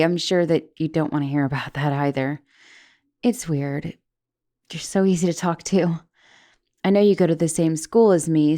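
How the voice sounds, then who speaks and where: Woman acting a creaky-voiced, flustered young giantess. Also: low voice. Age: young adult. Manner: hesitant, stammering, slipping into soft whispers. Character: flustered, guilty, caring young giantess college student. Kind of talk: ASMR roleplay, intimate one-sided dialogue.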